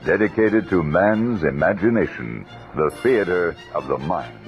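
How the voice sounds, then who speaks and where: A man's voice: sits low, lively intonation, unhurried, soft background music. A man announcing a program in an old-time radio style. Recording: dull lo-fi old radio recording.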